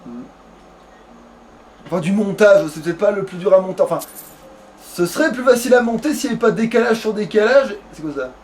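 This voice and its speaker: A man's voice: high-pitched, brisk, long pauses, a little hiss or hum, rough recording. A man hosting a video game let's play, chatting casually in a rambling way with crude jokes. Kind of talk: casual gaming chat.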